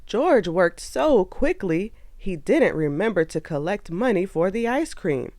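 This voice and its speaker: A woman narrating a children's storybook aloud in an expressive, animated way. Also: medium pitch, unhurried, no room sound, clean studio sound.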